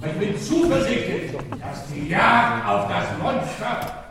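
A man's voice: high-pitched, wildly swooping pitch, takes it slowly, full character voice. An actor playing a confident male official giving a formal, declamatory public address in a radio drama. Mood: confident, resolute. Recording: very echoey hall, distant, crowd murmur.

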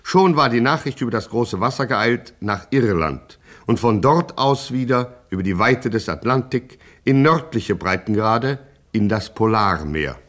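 A man's voice: medium pitch, animated, a little slow, fairly bright tone. A man narrating a satirical radio play in a dramatic, mock-epic scripted style. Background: music.

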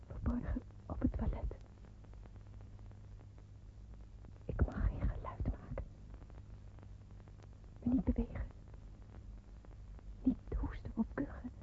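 A woman's voice: fairly high pitch, lightly acted. Actress as a young woman in hiding, tinny recording. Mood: fearful, hushed. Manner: dramatic, intimate monologue. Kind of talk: radio play acting, first-person narration. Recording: tinny, narrowband old radio recording, faint noise.

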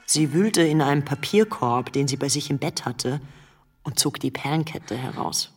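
A woman's voice: deep voice, normal speed, husky. An actress playing a worldly young society woman who recounts her memories in a radio play with cool composure. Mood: concerned, recounting. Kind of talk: radio play storytelling in German. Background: music.

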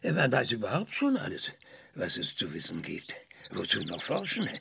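A man's voice: lowish voice, wildly swooping pitch, moderate pace, gravelly, noisy background, full character voice. An actor in a radio drama playing an ironic, cranky male scientist, hesitant and musing with dry sarcasm. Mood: wry, musing. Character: cranky, ironic maverick male scientist, passionate about research.

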